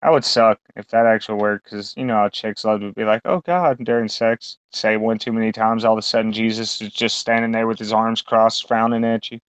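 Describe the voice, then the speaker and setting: A man's voice: lowish voice, steady tone, fairly quick, few pauses, clear, resonant tone, no room sound. A man co-hosting a podcast, rambling through a casual, crude chat full of fillers and swearing. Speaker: podcast co-host. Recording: dull lo-fi sound.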